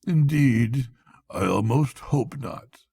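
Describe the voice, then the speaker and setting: A man voicing a languid storybook toad, feeble and murmuring, playing the mournful martyr as if gravely ill. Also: mid-range voice, expressive, takes it slowly, dark tone, no room sound, clean studio sound, pitched above the performer's own voice, heavily acted. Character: languid, self-pitying male toad feigning grave illness.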